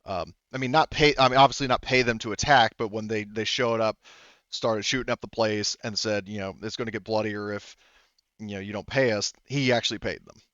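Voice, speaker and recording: A man improvising narration as a tabletop game master, rambling and haltingly correcting himself as he goes. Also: fairly low pitch, steady tone, fairly bright tone, dry recording, highs cut off. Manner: rambling, halting, self-correcting, full of "you know".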